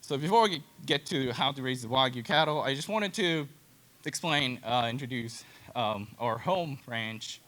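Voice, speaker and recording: A man with a Japanese accent speaks in a bright, forward voice at a middling pitch. He gives an informal slide presentation as a specialist, in simple, halting non-native English. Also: animated. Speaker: cattle genetics specialist, presenter.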